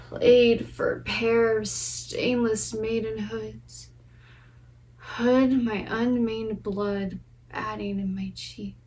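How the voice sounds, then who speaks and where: A high-voiced, lovestruck young noblewoman acted by a woman speaks classical verse at an unhurried, lingering pace. She is impatient and full of passionate yearning, in a theatrical stage style. The microphone sits at a distance from her. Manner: dramatic, lingering, swelling from hushed to fervent. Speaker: drama student performer.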